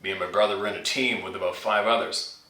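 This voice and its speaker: Low-voiced man, bright and forceful, roomy sound. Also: steady tone, fast talker. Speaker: channel host reading out a viewer's submitted story. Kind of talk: reading a listener's scary story aloud, informal. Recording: roomy echo, distant from mic.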